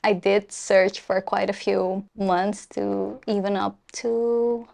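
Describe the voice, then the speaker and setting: A soft-spoken woman from the Netherlands, answering interview questions in a measured, easygoing way. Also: medium pitch, level tone, creaky. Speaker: guest, homeowner.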